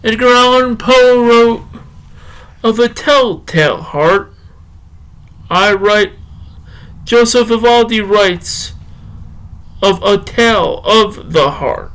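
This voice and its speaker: A man playing a slow, earnest poetry show host with a drawn-out, halting delivery. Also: sits high, fairly bright tone, clean tone, voice pushed up, low-quality recording. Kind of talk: halting poetry recital and rambling podcast talk.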